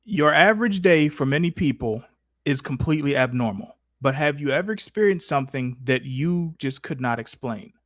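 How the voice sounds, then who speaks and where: Slangy man hosting, slightly high voice. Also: expressive, normal speed, fairly bright tone, no echo, studio quality. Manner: slangy, mock-exasperated. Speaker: livestream host. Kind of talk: casual, bantering Q&A hosting.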